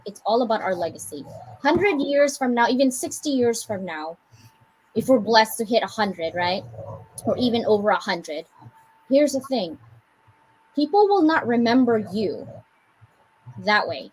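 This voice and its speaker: Woman, American accent, raised from the Philippines; husky, slightly high voice; motivational co-host with jokes. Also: low-quality recording. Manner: animated, preachy pep talk with joking asides. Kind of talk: casual group chat, motivational.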